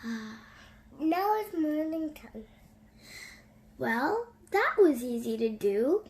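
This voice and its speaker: A woman reading a bedtime story aloud to young children in an animated, sing-song, drawn-out way. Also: high-pitched. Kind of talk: slow, expressive bedtime story read-aloud.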